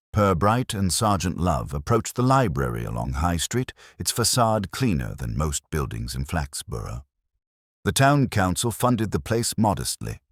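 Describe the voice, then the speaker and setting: A man reading a scripted mystery story as narrator, in a measured, formal, literary way. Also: deep voice, no room sound, clean studio sound.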